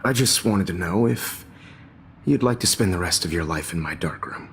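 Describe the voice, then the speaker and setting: An actor playing a middle-aged American photography teacher in a video game, smug, theatrical and predatory. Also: male voice, deep voice, expressive, moderate pace, slight background noise. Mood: creepy, menacing. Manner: theatrical, lecturing.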